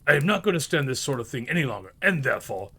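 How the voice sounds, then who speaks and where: Old reformed miser for a storybook, played by a man: gruff, emphatic, gleeful underneath, very animated intonation. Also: mid-range voice, quick pace, full character voice. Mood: mock stern. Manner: emphatic, growling when feigning sternness. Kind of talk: storybook character voice.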